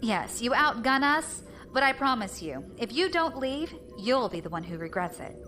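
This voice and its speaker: A woman's voice: on the high side, very animated, normal speed, bright, forceful tone, soft background music. A woman playing a defiant, commanding rebel fleet leader in an audio drama. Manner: commanding, composed.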